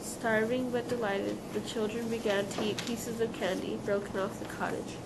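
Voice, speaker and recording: A woman's voice: fairly high pitch, flat delivery, normal speed. A woman reading scripted storybook narration aloud, occasionally stumbling on a word. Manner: reads aloud, stumbles on words. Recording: clearly noisy live recording.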